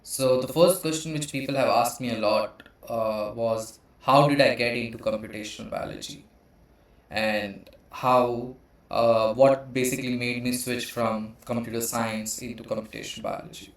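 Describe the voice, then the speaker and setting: A man from India in his thirties, hosting his own channel and walking through slides on computational biology, pausing often with uh. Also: mid-range voice, steady tone. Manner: hesitant, frequent uh fillers. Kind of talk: explaining with slides. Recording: echoey room.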